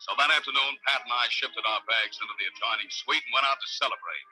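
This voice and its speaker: An actor playing a tough, brisk American police detective in a clipped, hard-boiled 1940s radio serial style. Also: male voice, medium pitch, bright, forceful tone, breathy edge, dry recording, soft background music, poor audio. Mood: brisk narrating. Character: tough, brisk male police detective narrating his case. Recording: old 1940s radio recording.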